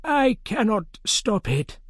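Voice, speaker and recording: A narrator voicing an old male wizard who speaks slowly and solemnly, with a higher lifted tone and drawn-out words. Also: big pitch swings, husky, dry recording, clean studio sound, heavily acted. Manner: slow, drawn-out solemn speech. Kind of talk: audiobook character voice.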